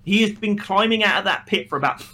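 A man co-hosting a casual podcast review, talking in an animated, opinionated way. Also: sits high, fast, faint music behind. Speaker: podcast co-host. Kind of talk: casual film review discussion.

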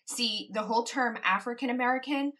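A woman's voice: fairly high pitch, a little fast, bright, forceful tone. A woman from the Caribbean hosting a podcast, talking in a reflective, casual monologue and laughing lightly between her points. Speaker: podcast host.

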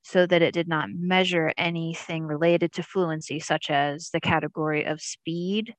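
A woman's voice: sits low, fairly even intonation, normal speed, no echo. Articulate mother, dry close sound. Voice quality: person who stutters. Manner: articulate, conversational, uses fillers like "you know". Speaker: guest, parent. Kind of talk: conversational interview.

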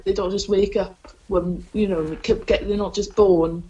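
A young Welsh woman talks loosely and warmly in an interview, full of fillers and restarts. Her voice sits at an ordinary middle pitch, and she laughs at herself often. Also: fairly even intonation, normal speed, lo-fi sound. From South Wales. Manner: chatty, rambling, many fillers. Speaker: stand-up comedian, guest. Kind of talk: casual interview chat.

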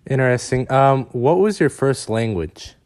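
A man's voice: mid-range voice, animated, normal speed, darkish tone. Man asking short interview questions. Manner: brief questions with hesitant fillers.

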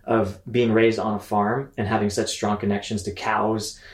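A man's voice: on the low side, flat delivery, normal speed. A man in his thirties from Mississippi, telling his story conversationally as an interview guest, in a reflective, explanatory way. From Mississippi, United States. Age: 30s. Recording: roomy, echoing sound.

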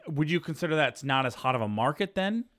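A man's voice: fairly high pitch, expressive, fast, fairly bright tone. A man in his thirties hosts a podcast in a loose, rambling way. He jokes, circles back with fillers, and restarts his sentences as he goes. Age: 30s. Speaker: podcast host. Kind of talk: casual chat.

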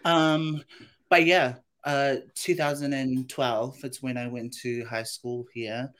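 A woman's voice: very deep voice, fairly even intonation, slow pace. A woman in her late twenties from Samoa, an actress talking about her path in a bubbly, playful way and laughing easily. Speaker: actress and guest. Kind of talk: interview chat.

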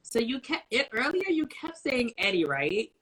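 Expressive woman, bright, husky, swooping pitch. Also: moderate pace, low-quality recording. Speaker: interview host. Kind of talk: casual chat, reading questions.

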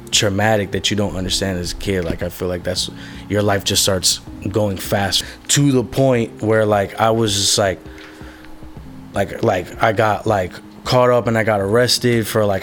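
Low-voiced young man, rambling and candid. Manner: rambling, filler-heavy, casual swearing. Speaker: musician being interviewed. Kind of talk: candid personal conversation.

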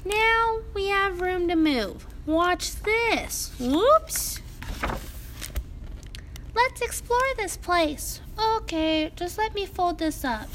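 A plucky, excitable little pig from a children's storybook, acted out by a woman. The voice is extremely high and very slow, with playful, exclamatory lines that leap up and down. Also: slight background noise, full character voice. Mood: excited, curious.

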